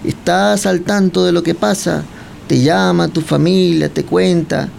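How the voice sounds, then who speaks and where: A man's voice: sits high, steady tone, vocal fry, a little mellow, a little hiss or hum. A man from Cuba, chatting and teasing as he questions a guest and tells long stories. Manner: chatty, teasing, drawn-out storytelling. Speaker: guest interviewer. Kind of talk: casual chat.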